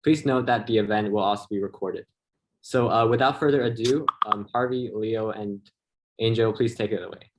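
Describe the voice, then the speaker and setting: A man's voice: lowish voice, fairly even intonation, moderate pace, noisy background. A man hosting an online event and handing over to the presenters. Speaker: event host.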